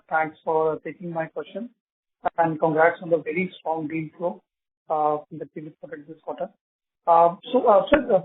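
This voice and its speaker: High-pitched halting man on phone. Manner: very halting, deferential. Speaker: analyst caller asking questions. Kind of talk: polite question on an earnings call. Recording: rough, tinny phone line.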